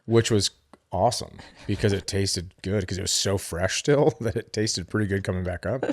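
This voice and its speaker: A man co-hosting a podcast, telling gross stories in a lively, joking way while getting over being sick. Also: low voice, normal speed, dry recording, clean studio sound. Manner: animated, graphic storytelling with playful teasing. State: recovering from illness, sore ribs. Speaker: podcast co-host. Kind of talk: casual comedic chat.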